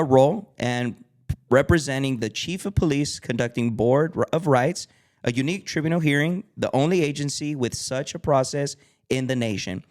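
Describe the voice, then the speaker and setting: Enthusiastic podcast host man. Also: mid-range voice. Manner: enthusiastic, lots of ums and restarts. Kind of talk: casual chat.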